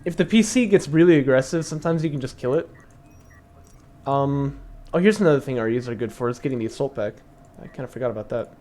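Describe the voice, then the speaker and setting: A young man streaming and explaining strategy in a casual, chatty way, thinking aloud with fillers and laughs; his voice is slightly raspy from long talking. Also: medium pitch, lively intonation, fast, a little mellow. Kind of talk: casual live-stream commentary.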